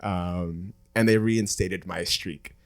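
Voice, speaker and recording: A man's voice: low voice, fairly even intonation, takes it slowly. A young man, a native Spanish speaker, co-hosting a podcast in casual chat, teasing and laughing often. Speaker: podcast co-host.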